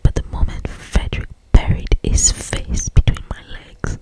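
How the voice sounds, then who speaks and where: An ASMR creator reading a romance novel aloud in a breathy whisper, with lingering phrasing and occasional asides. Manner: slow, lingering phrasing with repeated words and asides.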